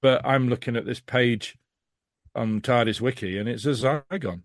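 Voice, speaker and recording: A man's voice: mid-range voice, moderate pace, dry recording. Blunt man in a dry, close recording. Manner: blunt, opinionated, sweary. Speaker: podcast guest. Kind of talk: casual conversational critique.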